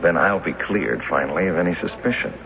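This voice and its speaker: A low-voiced, determined wronged American banker. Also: male voice, fairly quick, creaky, a crowd in the background. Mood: insistent. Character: determined, long-suspected male former banker demanding justice. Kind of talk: radio drama acting. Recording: dull noisy vintage radio drama recording.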